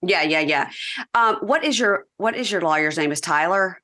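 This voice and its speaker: A woman's voice: fairly low pitch, lively intonation, normal speed, sharp tone. A woman from Mississippi with a Southern accent hosting a podcast interview, chatty and affirming, often laughing along with her guest. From Mississippi, USA. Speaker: podcast host. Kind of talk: casual interview chat.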